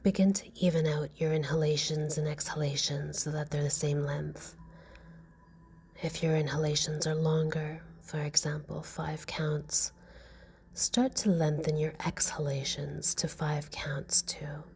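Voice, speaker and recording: A woman gently guiding a meditation in a soft, breathy, slow and soothing voice. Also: low-pitched, level tone, slight background noise. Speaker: meditation guide. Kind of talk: guided meditation narration. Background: music.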